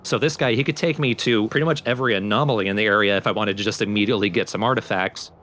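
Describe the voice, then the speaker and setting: A man's voice: on the low side, steady tone, rapid-fire, fairly bright tone. A man giving casual, explanatory commentary over a video game, talking informally and step by step. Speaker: let's play commentator. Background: game music under the voice.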